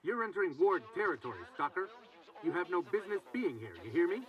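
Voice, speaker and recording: Distant, hostile guard. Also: male voice, sits high, big pitch swings, fast talker. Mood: hostile, warning. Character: hostile, bossy male territory guard. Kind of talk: video game voice acting. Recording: distant, dull, voices behind.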